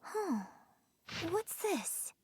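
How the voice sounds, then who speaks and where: A woman voicing a polite, refined young opera performer in a video game, speaking in a measured, reflective, gentle way. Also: very high-pitched, very animated, noisy background, heavily acted. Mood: pondering. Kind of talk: video game cutscene acting.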